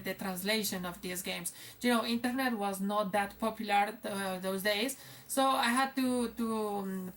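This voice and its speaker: A woman's voice: mid-range voice, a bit bright, recorded from a distance, slight background noise. Young woman with a Spanish accent. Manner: conversational, many fillers and restarts. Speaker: English teacher. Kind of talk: casual vlog monologue.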